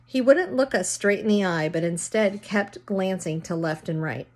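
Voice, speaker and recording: A woman's voice: mid-range voice, expressive, normal speed, darkish tone. A woman reading a children's story aloud, narrating and casually explaining words as she goes.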